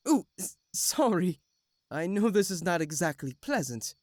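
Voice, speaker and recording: A woman playing a gentle, concerned healer in an audio drama. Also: on the low side, big pitch swings, measured pace, dry recording, clean studio sound. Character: gentle healer tending the wounded.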